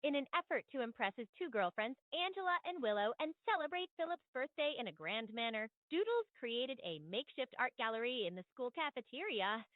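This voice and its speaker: A woman's voice: sits high, animated, fairly quick, hardly any pauses, no room sound. A woman speaking in a polished, formal phone manner, effusive, diplomatic and courteous, like a school principal. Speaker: AI prank caller posing as school principal. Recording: dull lo-fi phone call playback.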